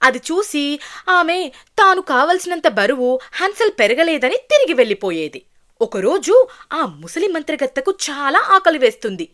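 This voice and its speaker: A woman's voice: sits high, big pitch swings, moderate pace, a bit bright. A woman narrating a scripted fairy tale for children as a storyteller.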